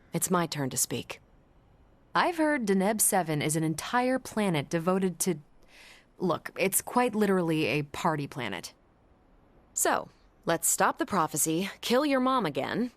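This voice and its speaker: A woman's voice: lowish voice, sing-song, a little slow. A woman playing a confident, teasing, breezy superhero in a video game. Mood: upbeat, playful. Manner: wry, playful banter.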